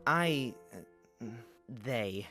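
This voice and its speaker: Woman doing a low young guy hero for a visual novel, uneasy, earnest, halting, music under it. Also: animated, fairly bright tone, exaggerated voice. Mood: uneasy, subdued. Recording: music playing behind the voice.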